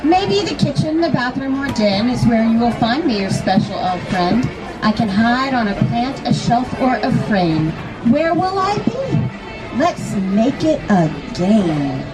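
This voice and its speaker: Woman doing a live story reading for kids, really high voice, noisy crowd in a big shop. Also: a little slow. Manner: expressive, talks to children with questions. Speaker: children's book author reading aloud. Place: live reading inside a large toy shop. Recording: busy echoey store, crowd and loud music.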